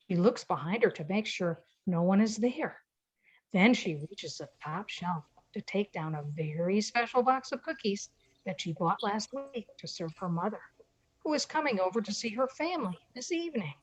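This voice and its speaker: A woman's voice: mid-range voice, expressive, normal speed, husky, low-quality recording. A woman reading scripted narration for an amateur radio play.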